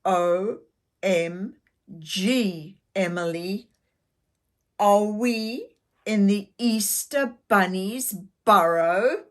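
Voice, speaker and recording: Storybook older sister, played by a woman: young girl, wary and wide-eyed, halting but emphatic. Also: medium pitch. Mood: amazed.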